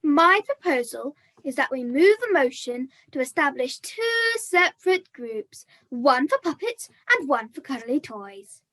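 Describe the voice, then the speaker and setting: A woman's voice: sits high, sing-song, measured pace, few pauses, fairly bright tone, heavily acted. A woman playing a haughty, bossy princess puppet in a scripted comedy, speaking in a pompous, formal way.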